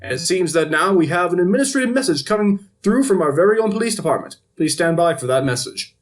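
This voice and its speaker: A man's voice: high-pitched, lively intonation, fast talker. A man playing a flippant TV news anchor in an audio drama, reading reports with wry, editorializing asides. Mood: opinionated, upbeat. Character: flippant male TV evening news anchor covering a zombie outbreak.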